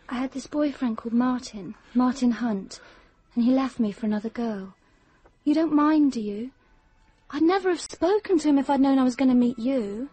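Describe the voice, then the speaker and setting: High-voiced, obsessive young Englishwoman. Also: mellow, slightly rough, dry recording. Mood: possessive. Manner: coaxing, matter-of-fact about horrors. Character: obsessive young woman killer, childlike, coaxing, eerily calm. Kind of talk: radio drama acting.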